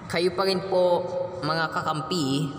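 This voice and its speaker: A man with a Filipino accent giving a thank-you speech as a company chief, halting and hesitant with frequent fillers. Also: a little high, fairly even intonation. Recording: echoey hall with music behind.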